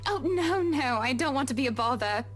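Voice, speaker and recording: A woman's voice: high voice, animated, fairly quick. A woman voicing a poised, sardonic young wife in a game, speaking in a prim, politely cutting way. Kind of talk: game voice acting.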